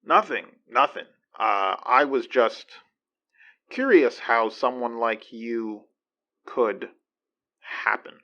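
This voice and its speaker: Hesitant, curious companion, bright edgy voice. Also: medium pitch, lively intonation, highs cut off, full character voice. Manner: hesitant, halting. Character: curious, hesitant companion angling to learn supernatural craft. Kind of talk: conversational audio drama dialogue.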